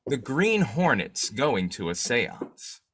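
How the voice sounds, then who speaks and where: A man playing a shrewd, determined newspaper boss and secret vigilante in a 1940s-style radio drama, musing deliberately. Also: mid-range voice, big pitch swings, fairly bright tone, a little hiss or hum. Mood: scheming, musing. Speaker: actor in an old-time radio drama revival.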